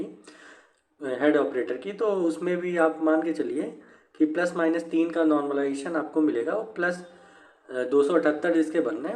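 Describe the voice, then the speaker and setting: A man giving an informal, explanatory exam briefing in a repetitive, halting way. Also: a little high, warm tone. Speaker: exam news presenter. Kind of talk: informal explanatory commentary on exam cut-off scores. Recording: echoey room.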